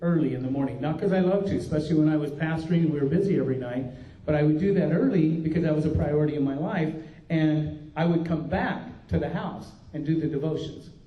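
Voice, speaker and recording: A man's voice: fairly high pitch, fast. An older man, a pastor, giving an earnest, folksy workshop talk with frequent restarts. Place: conference room. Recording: dull, roomy recording from a distance.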